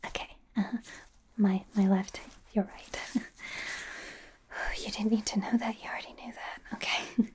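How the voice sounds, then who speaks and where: A woman's voice: mid-range voice, little pitch movement, unhurried, long pauses. A woman playing a nervous, friendly female werewolf doctor in a whispered ASMR roleplay, hesitant, stammering and apologetic. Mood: nervous, flustered. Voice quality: soft, breathy whisper.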